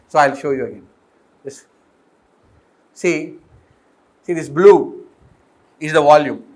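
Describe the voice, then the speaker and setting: A middle-aged man with an Indian accent speaks in a fairly high voice. He lectures informally on technical material like a professor in a classroom, often putting questions to his listeners and then explaining. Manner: explanatory, often posing questions and checking understanding. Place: indoor lecture room with green chalkboard. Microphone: fixed or worn mic, voice drifting as he turns.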